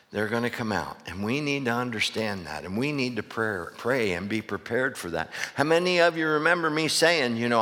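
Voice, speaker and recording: Hoarse, hollering preacher man in a church. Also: medium pitch, sharp tone. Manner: hollering, call-and-response preaching. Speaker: pastor. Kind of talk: fiery sermon. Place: church sanctuary. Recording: long reverb of a church hall.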